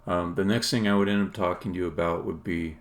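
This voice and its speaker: A man, a game developer, casually explaining his work on a live stream in a halting, think-aloud way. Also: low-pitched, fairly even intonation. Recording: clear background noise.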